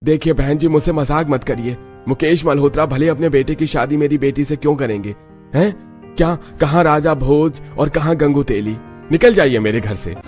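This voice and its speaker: Man acting flustered greedy father, pitched up. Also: moderate pace, darkish tone, faint music behind, lightly acted. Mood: incredulous. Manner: flustered, incredulous. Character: poor, gambling, greedy father of the bride.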